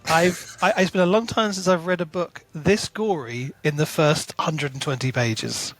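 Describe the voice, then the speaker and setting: A man's voice: fairly high pitch, normal speed, fairly bright tone, no room sound, low-quality recording. A man co-hosting a book podcast in casual, playful chat.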